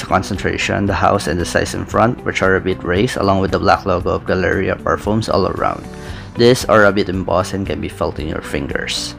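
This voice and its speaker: A young man with a lowish, gravelly voice narrates a product review in a steady, methodical way. He describes each detail carefully, like a prepared script. Background music runs clearly under his voice. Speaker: fragrance reviewer. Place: small indoor room with brick-pattern wall.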